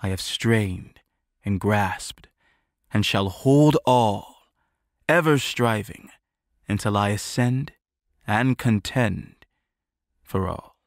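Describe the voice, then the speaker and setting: A man voicing a grandiose immortal tyrant in an audiobook, declaiming solemnly and pompously with deliberate weight. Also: lowish voice, no echo, clean studio sound, voice pushed down. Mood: grandiose menace. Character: grandiose immortal male tyrant declaiming his holy book.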